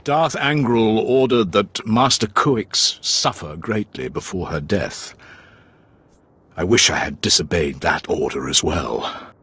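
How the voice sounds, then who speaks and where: An actor playing a grave, honor-bound Sith warrior in a video game, with a rough voice and solemn, formal delivery. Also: male voice, lively intonation, slow, fairly bright tone, full character voice. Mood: grim, proud. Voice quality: deep, rough, husky. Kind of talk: video game dialogue acting.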